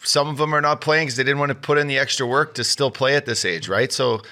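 Earnest mid-pitched man. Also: fast talker, sharp tone. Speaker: podcast co-host. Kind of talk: casual chat.

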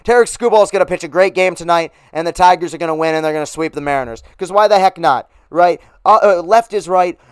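Upbeat man, slightly hoarse sports host. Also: sits high, fast talker, hardly any pauses, no room sound, clean studio sound. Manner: upbeat, rambling with fillers. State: losing his voice. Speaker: podcast host. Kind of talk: casual sports podcast talk.

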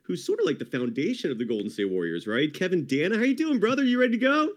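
A man's voice: high-pitched, big pitch swings, quick pace. A man hosting a sports podcast in casual, upbeat chat, hyping his guest and calling him brother. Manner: hyped, chummy, calls guest brother. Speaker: podcast host.

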